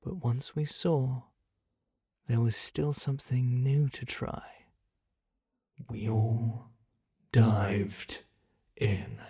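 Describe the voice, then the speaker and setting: An ancient, bored monster, played by a British man, speaks into the ear in a slow, gravelly murmur. The voice sits at a middle pitch and drops into soft whispers, menacing and cruel, in a horror ASMR roleplay. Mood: confiding.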